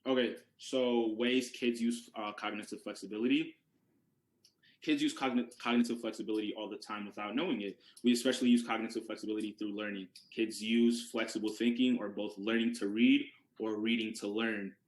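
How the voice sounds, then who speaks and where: A man's voice: lowish voice, steady tone, a little fast, echoey room. A young man whose first language is Yoruba, giving a casual classroom presentation as a student presenter and talking it through with rhetorical questions. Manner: conversational, restarts words, asks rhetorical questions.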